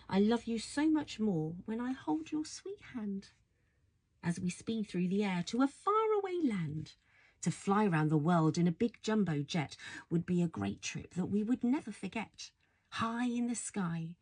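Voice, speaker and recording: A woman reads a children's bedtime story aloud in a soft, husky voice, affectionate and playful, full of wonder. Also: medium pitch. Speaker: storybook reader.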